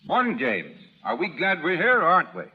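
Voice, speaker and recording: An actor playing a hearty, affectionate well-to-do husband in 1930s radio drama style, with brisk, clipped, jovial phrasing. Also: male voice, fairly high pitch, huge pitch swings, slightly rough, faint music behind, exaggerated voice. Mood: brisk, cheerful. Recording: dull, muffled old radio broadcast.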